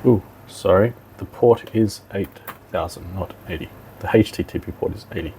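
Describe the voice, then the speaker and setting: Aussie guy doing a software how-to, lowish creaky voice, informal, thinking out loud, bit of background noise. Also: moderate pace, rough recording. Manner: informal, instructional, thinking aloud. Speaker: technical demonstrator. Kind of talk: software tutorial walkthrough, talking while clicking.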